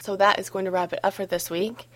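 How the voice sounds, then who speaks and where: A woman hosting a gardening podcast who has a cold and sounds slightly hoarse, talking in a relaxed, chatty way with frequent ums. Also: mid-range voice, fast talker. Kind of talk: solo podcast advice talk.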